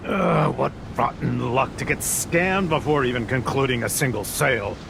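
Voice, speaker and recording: An actor playing an indignant travelling merchant in a game, grumbling in a wordy, melodramatic way. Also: male voice, medium pitch, normal speed, vocal fry, noisy background. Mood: aggrieved, indignant. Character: indignant, long-suffering travelling merchant man, theatrically aggrieved. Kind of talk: theatrical game voice acting.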